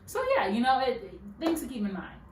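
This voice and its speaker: A woman hosting a casual chat, talking loudly and directly with animated storytelling. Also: on the high side, a little fast, slight background noise. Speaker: host. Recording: echoey room, mic far off, faint ambience.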